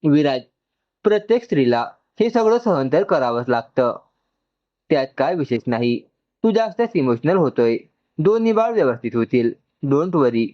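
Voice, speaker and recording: A man's voice: on the high side, big pitch swings, normal speed, lo-fi sound. A man voicing a practical woman who talks to her friend in a matter-of-fact way in a dramatic story. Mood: calm reassurance. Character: composed, practical woman reassuring an anxious friend.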